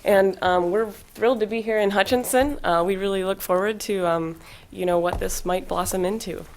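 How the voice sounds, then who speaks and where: A woman's voice: medium pitch, moderate pace, a little mellow. A young woman who leads a volunteer team, giving an informal presentation at a council meeting. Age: young adult. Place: public council meeting room.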